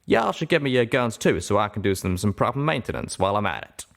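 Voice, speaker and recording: A man's voice: on the low side, big pitch swings, fast, creaky. A man voicing a proud, stubborn cowboy pony gunslinger with folksy, drawling speech in a storybook reading. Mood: practical.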